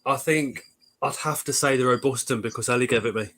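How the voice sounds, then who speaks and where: A man runs a relaxed live stream. He jokes around, swears casually, and reads viewer comments aloud in an easy, chatty way. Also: mid-range voice, fairly quick. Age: 40s.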